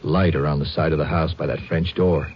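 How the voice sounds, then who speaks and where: A man's voice: extremely low pitch, steady tone, brisk. A man playing a plain-spoken, earnest lawman's sidekick in a 1950s radio drama, folksy and clipped. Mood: hushed, alert. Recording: noisy, dull vintage 1950s radio recording.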